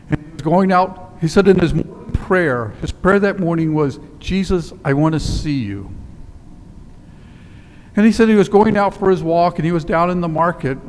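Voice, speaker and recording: Older priest preaching in a reverberant church. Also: male voice, fairly high pitch, slight background noise. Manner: storytelling with quiet, slowed emphasis. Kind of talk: sermon. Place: church sanctuary in front of altar. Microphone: headset mic. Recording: long church reverb with faint ambience.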